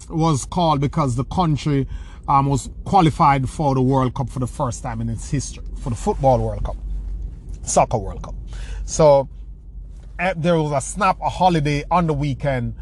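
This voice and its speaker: A man's voice: on the high side, a little hiss or hum. Jamaican man giving rambling motivational talk. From rural Jamaica. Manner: rambling, with many repeated words and restarts. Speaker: motivational speaker. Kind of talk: motivational talk answering a question.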